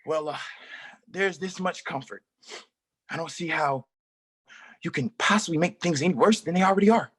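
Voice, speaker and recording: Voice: mid-range voice, moderate pace, long pauses, fairly bright tone, slightly rough. A performer reading a male wandering magician in a play table read, stammering and theatrical. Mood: exasperated. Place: live reading at an art exhibition.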